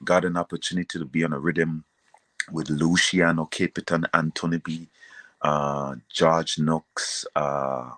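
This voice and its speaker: A man with an extremely low, deep voice speaks in a casual interview. He tells his story conversationally, like a seasoned singer. The sound is dry and close, with little room to it. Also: flat delivery, a little slow, fairly bright tone, no echo. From Caribbean, outside Jamaica. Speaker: reggae singer being interviewed. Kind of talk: casual interview, recounting a career.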